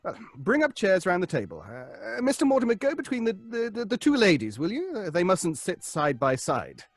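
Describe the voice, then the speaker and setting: An actor playing an earnest, pedantic professor leading a seance in a radio drama, speaking precisely with hesitant ums. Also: male voice, high-pitched, huge pitch swings, normal speed, no room sound, exaggerated voice. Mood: earnest, commanding. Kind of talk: old-fashioned radio drama acting.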